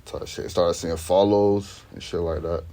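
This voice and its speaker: A man's voice: low-pitched, fairly even intonation, measured pace, dark tone. A young man from Sweden, a rapper, chatting in a laid-back, slangy way as the interview guest. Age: young adult. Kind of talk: casual interview chat.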